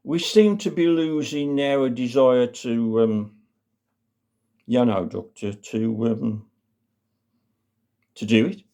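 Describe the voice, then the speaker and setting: A man's voice: medium pitch, takes it slowly, long pauses, warm tone, voice pushed up. Man putting on a coy old woman. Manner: hesitant, coy.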